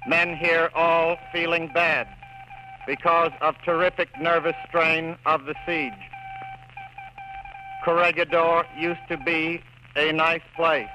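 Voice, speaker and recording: A man playing an exhausted, despairing army radio operator under siege, reading messages in halting, clipped phrases. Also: a little high, little pitch movement, sharp tone. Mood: despairing, drained. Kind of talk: slow dramatic reading of coded radio messages. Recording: noisy old radio with code beeps.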